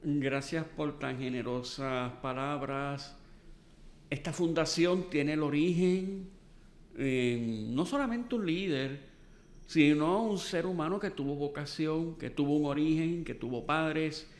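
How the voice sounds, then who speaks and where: Puerto rican guy doing formal interview intros, bit higher voice. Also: takes it slowly. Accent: Puerto Rican Spanish. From Puerto Rico. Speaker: interviewer, archive director. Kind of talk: formal oral history interview. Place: boardroom.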